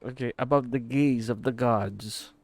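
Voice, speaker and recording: Slow-talking man reacting to a game. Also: mid-range voice, vocal fry, a little mellow. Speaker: gaming YouTuber. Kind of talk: casual game reaction commentary. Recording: game music behind the voice. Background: game music.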